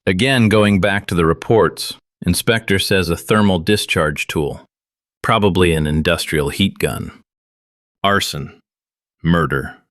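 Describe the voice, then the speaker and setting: A man's voice: deep voice, unhurried, a bit bright, dry recording, studio quality. A man playing a wry conspiracy show host in an audio drama, talking in a conversational, conspiratorial way. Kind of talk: scripted audio drama monologue as talk-show broadcast.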